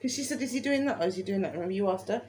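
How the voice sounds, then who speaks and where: A woman's voice: medium pitch, expressive, very fast, a little mellow, slight background noise. A woman co-hosting a casual chat, giggling and defending herself. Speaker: co-host.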